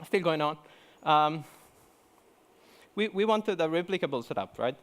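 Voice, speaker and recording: A man's voice: on the high side, expressive, unhurried, a bit bright. Chatty man with fillers. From Italy. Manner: chatty, many fillers and restarts. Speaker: conference speaker. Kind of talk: joking conference talk. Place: conference hall.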